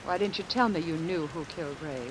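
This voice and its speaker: A woman's voice: on the low side, fairly quick, close to an everyday voice. An actress playing a defensive, tense woman caught up in a murder case in a 1950s radio drama. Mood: troubled, guarded. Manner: indignant, pleading, dramatic. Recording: hissy vintage radio recording.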